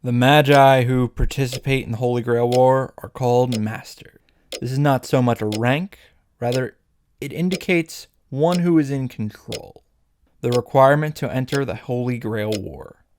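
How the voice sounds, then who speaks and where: A man's voice: mid-range voice, moderate pace, a little mellow, a little hiss or hum. A man narrating a comedic scripted recap as a video host, wry, playful and casually irreverent.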